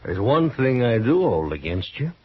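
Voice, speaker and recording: An actor playing a drunk, wounded gambler in an old western radio drama, husky and halting, with a rueful manner. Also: male voice, mid-range voice, very animated. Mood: wry, relieved. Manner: slow, halting, rueful chuckling. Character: wounded gambler gunslinger, drunk, bitterly relieved. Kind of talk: 1950s western radio acting. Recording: dull, dry old radio recording.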